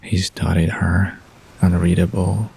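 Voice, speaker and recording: A man narrating a bedtime story softly from a script. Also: sits low, little pitch movement, unhurried, gravelly, breathy edge, rough recording. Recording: soft guitar music behind the voice.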